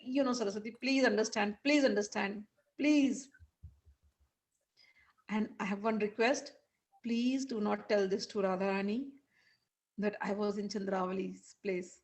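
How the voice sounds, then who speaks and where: A woman voicing a charming young man who pleads and sweet-talks a jealous lover, soft and repetitive. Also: mid-range voice, steady tone, measured pace, long pauses, natural voice. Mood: apologetic pleading. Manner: soft coaxing, repeating himself.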